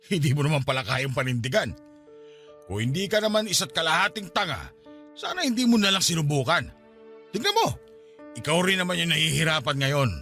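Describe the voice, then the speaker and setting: An actor playing a lazy, menacing, proud husband in a Filipino radio drama, with a Filipino accent and a taunting manner. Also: male voice, fairly high pitch, big pitch swings, brisk, long pauses, bright, forceful tone, faint music behind, exaggerated voice. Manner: taunting, sneering laughs between lines.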